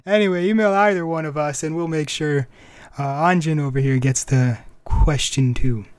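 Higher-voiced man hosting a casual podcast. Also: moderate pace, clean tone, no echo. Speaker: podcast host.

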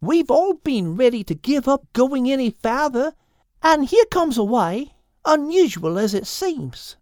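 A woman voicing a gentle female platypus who speaks in a calm, reassuring, unhurried way. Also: high-pitched, no echo, clean studio sound, voice pushed up.

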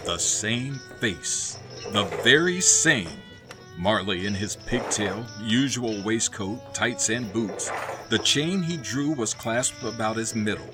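A man's voice: lowish voice, animated, slow pace. A man narrating a radio play as a dramatic storyteller, theatrical and suspenseful. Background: music.

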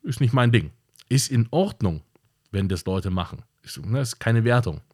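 A man's voice: medium pitch, lively intonation, moderate pace, a little mellow, husky. A man hosting his own podcast, talking in a casual monologue that is blunt and direct and repeats points for emphasis. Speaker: podcast host.

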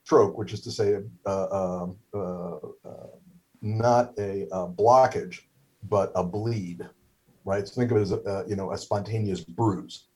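A man's voice: on the low side, steady tone, measured pace, darkish tone, breathy edge. A middle-aged man whose first language is Hebrew, telling a story casually with many fillers, restarts and wry humor. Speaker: guest, stroke survivor.

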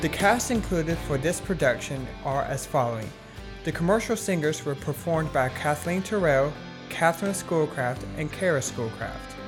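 A woman's voice: low voice, animated, normal speed. A woman reading a scripted announcement as a credits announcer. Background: guitar music.

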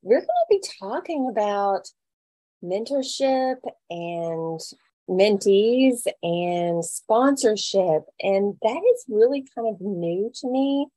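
A woman co-hosting an education podcast, opening the show and reading out a guest's introduction in a measured way. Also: medium pitch, lively intonation, warm tone, no room sound. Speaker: podcast co-host, English education professor.

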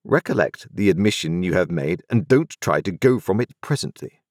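A man reads an overbearing storybook lawyer who speaks in clipped, formal phrases and presses every question like a cross-examination. His voice sits higher and louder than the deep narration around it, and it swings widely in pitch. The sound is close and dry. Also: animated, normal speed, dry recording. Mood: stern, formal. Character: brusque, overbearing middle-aged male lawyer. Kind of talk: audiobook character voice.

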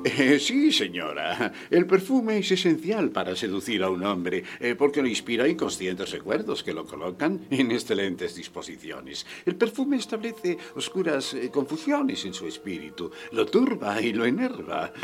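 An actor playing a sly, pedantic male agent in radio theatre, speaking with hesitant ehs and ahs. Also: fairly low pitch.

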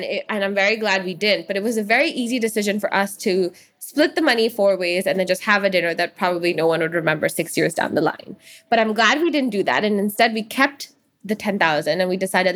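A woman in her twenties from Hong Kong, a guest entrepreneur telling stories earnestly in a casual interview, with quick asides. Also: mid-range voice, a bit bright. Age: 20s.

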